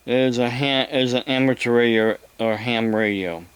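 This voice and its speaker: A man giving a rambling, casual explanation to viewers, halting and repeating himself as he goes. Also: mid-range voice, steady tone, smooth, no echo. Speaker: vlogger.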